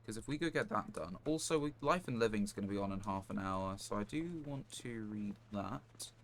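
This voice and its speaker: Low-voiced man commentating a game, noisy background. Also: animated, normal speed, fairly bright tone. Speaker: game streamer. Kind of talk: live gameplay commentary, casual thinking aloud. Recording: clear background noise, clicks.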